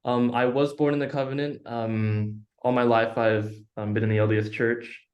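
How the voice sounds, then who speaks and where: A young man from Utah, a guest on a podcast, answering questions in a thoughtful, measured way. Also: medium pitch, little pitch movement, a little mellow, highs cut off. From Utah, United States. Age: young adult. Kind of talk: casual interview chat. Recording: echoey room.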